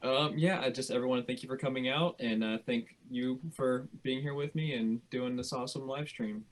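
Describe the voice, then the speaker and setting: A man's voice: mid-range voice, normal speed, rough recording. A man speaking casually as a participant in a group livestream call. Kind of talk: casual chat.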